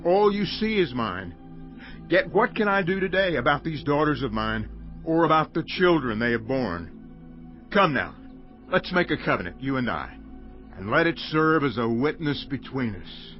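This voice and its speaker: An actor playing a stern, possessive older father and clan head in a dramatized audio Bible, speaking forcefully and solemnly. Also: fairly high pitch, sing-song, moderate pace. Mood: possessive, conceding. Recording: dull lo-fi audio with music behind.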